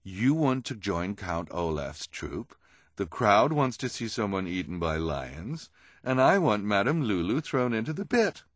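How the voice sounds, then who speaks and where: A man's voice: on the low side, very animated, measured pace, no room sound, pitched above the performer's own voice. A man voicing a haughty, scheming villainous woman who coaxes with emphatic, condescending persuasion in an audiobook. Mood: coaxing.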